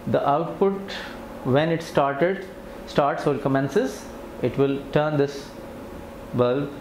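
A man's voice: a little high, steady tone, slow pace. A man in his thirties giving an unscripted instructional demonstration, explaining plainly step by step. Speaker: physiotherapy instructor demonstrating equipment. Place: indoor clinic treatment room with tiled floor. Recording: clear background noise, camera in clinic room.

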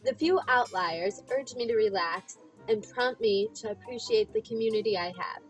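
A woman's voice: on the high side, low-quality recording. A young woman giving a mock-pompous dramatic reading in a theatrical, grandiloquent, carefully enunciated way. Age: young adult. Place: small dim room indoors.

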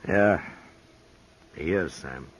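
An actor playing a calm, commanding frontier marshal, terse and firm, in a 1950s radio western. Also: male voice, low-pitched, extremely slow. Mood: grave. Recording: noisy, clicking 1950s radio recording.

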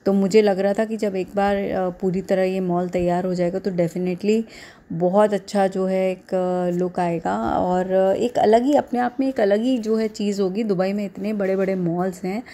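Female vlogger, 30s, Indian accent. Soft mellow tone, fairly flat intonation, hesitant casual narration. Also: mid-range voice. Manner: hesitant, halting delivery with repeated filler phrases.

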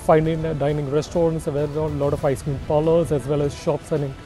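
A man's voice: on the high side, little pitch movement, brisk, dark tone, soft background music. A man in his thirties from India, talking casually to camera outdoors, hesitant with many fillers. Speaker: business agent in Portugal. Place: outdoors in a city street. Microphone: phone at arm's length.

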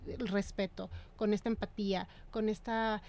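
A woman with a Mexican accent hosting a radio interview in a small studio, chatty and quick. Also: mid-range voice, level tone, a little mellow. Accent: Mexican Spanish. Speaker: host. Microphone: desk mic on stand.

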